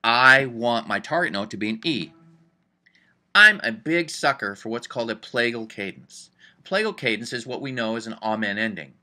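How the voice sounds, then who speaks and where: Bright-voiced man explaining music theory. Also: mid-range voice, moderate pace. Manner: chatty, upbeat, thinks aloud with fillers. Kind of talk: casual screen-recorded lesson.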